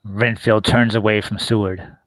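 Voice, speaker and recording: A man's voice: fairly low pitch, fairly even intonation, normal speed, dry recording, poor audio. A man reading scripted stage directions as the narrator of a play.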